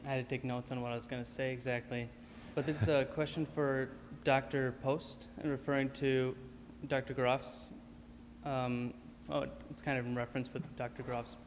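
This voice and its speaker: Flat-voiced man asking a hesitant question. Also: mid-range voice, normal speed. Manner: hesitant, restarting phrases. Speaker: audience member asking a question. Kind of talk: reading a prepared question. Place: large auditorium. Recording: reverberant hall, faint noise.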